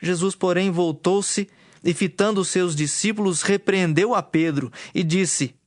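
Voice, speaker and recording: A man giving formal, measured scripted narration for a dramatized audio Bible. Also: high-pitched, no room sound. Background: music.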